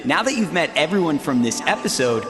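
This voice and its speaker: Flamboyant, wildly swooping show host. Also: male voice, fairly high pitch, big pitch swings, a little fast. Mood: showy. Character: flamboyant, showy male reality dating show host. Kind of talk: video game character acting. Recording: roomy long reverb, music underneath.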